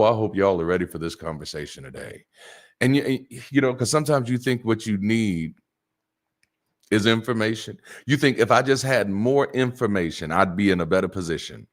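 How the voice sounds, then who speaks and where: A middle-aged man with a naturally deep voice, preaching a sermon in a lively, joking way and repeating words for emphasis. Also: normal speed. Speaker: pastor.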